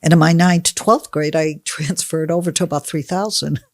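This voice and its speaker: A woman's voice: sits low, fairly even intonation, normal speed, dry recording, studio quality. A woman in her sixties from Connecticut, answering interview questions in a chatty, emphatic, conversational way. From United States (Connecticut). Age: 60s. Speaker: podcast guest, personal trainer.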